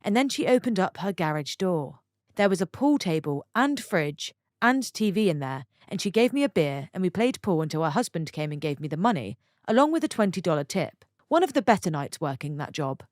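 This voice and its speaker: A woman reading online stories aloud for her channel, stopping for chatty, expressive asides to her viewers. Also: fairly low pitch, brisk, dry recording, clean studio sound. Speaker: story channel narrator.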